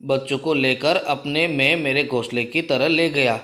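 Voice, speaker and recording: A man's voice: medium pitch, unhurried, sharp tone. A man voicing a kindly male crow, a helpful neighbour in a cartoon, explaining things reassuringly.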